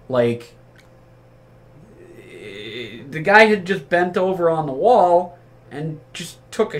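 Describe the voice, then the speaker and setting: A man's voice: fairly high pitch, a bit bright. A man telling casual anecdotes among friends in a rambling, profane, incredulous way. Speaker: storyteller.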